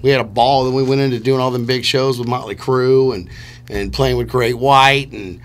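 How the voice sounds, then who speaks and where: A man's voice: mid-range voice, fairly even intonation, normal speed. A middle-aged man chatting casually and enthusiastically, telling rambling stories as a musician guest on a radio show. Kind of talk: casual chat. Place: small radio studio.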